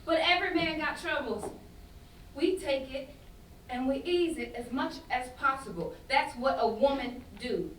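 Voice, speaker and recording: A woman playing a soft-hearted, loyal and defensive young woman in a staged play reading, earnest and speaking in vernacular. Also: sits high, a little slow. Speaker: student actor in a staged reading. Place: indoor festival staged reading. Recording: echoey room, distant mic, faint noise.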